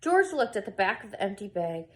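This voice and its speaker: A woman's voice: mid-range voice, very animated, a little fast, fairly bright tone. A woman reading a picture book aloud to young children, expressively and with casual asides. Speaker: preschool storyteller.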